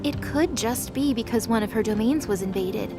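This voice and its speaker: High-voiced woman narrating a dramatic story. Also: normal speed. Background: music.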